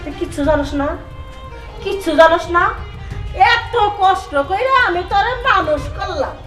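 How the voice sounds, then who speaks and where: Bossy bangladeshi mom played by a woman, super high angry scolding, drama film, echoey with music. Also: expressive, normal speed. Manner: scolding, berating. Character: hot-tempered, domineering mother guarding family honour. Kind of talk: melodramatic short-film acting. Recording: echoey, distant mic, loud music behind.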